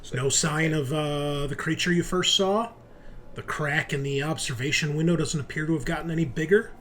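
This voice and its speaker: A man's voice: fairly high pitch, normal speed, a bit bright, slight background noise. A man narrating a tabletop roleplaying game as game master, improvising informally and addressing the players as "you".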